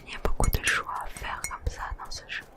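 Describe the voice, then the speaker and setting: A woman whispering gently and unhurriedly into a close microphone as ASMR game commentary. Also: brisk. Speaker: video creator.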